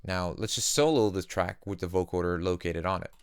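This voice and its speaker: Low, smooth-voiced man teaching. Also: fairly quick, dry recording. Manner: explanatory, uses simple analogies. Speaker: music production tutorial host. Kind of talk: casual software tutorial narration.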